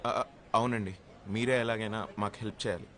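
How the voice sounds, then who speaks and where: A man's voice: fairly low pitch, steady tone, fast talker, fairly bright tone, lightly acted. An actor playing an anxious young man who runs a café and begs for help for a friend. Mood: pleading. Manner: pleading, polite.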